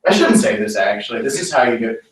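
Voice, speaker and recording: A man's voice: lowish voice, expressive, fast talker, recorded from a distance. A man giving a casual talk at a tech meetup, rambling and joking with a lot of "like". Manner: chatty, rambling, lots of "like". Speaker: meetup speaker. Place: meeting room. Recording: roomy echo, mic from afar, faint noise.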